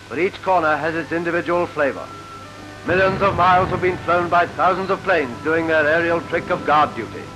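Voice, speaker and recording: A man's voice: fairly high pitch, rough recording. A British man reading scripted wartime newsreel commentary in a rousing, declamatory manner, on a vintage 1940s film soundtrack. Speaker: newsreel narrator. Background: loud newsreel music.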